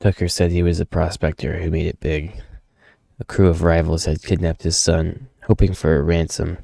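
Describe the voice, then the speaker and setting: Man as a deep, gravelly brooding loner. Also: flat delivery, normal speed, mellow, no echo. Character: gruff, haunted loner brawler narrating his grim past. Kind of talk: dramatic first-person comic narration.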